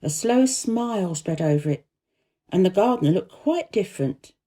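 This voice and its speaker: Female children's audiobook narrator: mellow tone, lively intonation, careful, expressive scripted reading. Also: medium pitch, normal speed. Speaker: storyteller.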